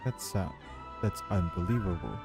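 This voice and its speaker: A man speaking game dialogue, with music clearly behind him. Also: sits low, moderate pace. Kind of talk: reading game dialogue, reacting on a stream.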